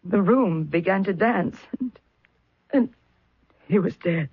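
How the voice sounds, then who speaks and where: An actress playing a genteel, guarded widow in old radio melodrama, speaking formally and haltingly with dramatic feeling. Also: female voice, fairly low pitch, husky, no room sound, phone-quality sound, exaggerated voice. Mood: grieving.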